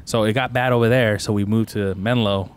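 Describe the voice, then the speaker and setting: A man's voice: lowish voice, fairly even intonation, a little fast, clean tone. Laid-back man telling a story. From Tucson, Arizona. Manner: rambling, laid-back, says "bro" often. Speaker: podcast co-host. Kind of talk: casual storytelling chat.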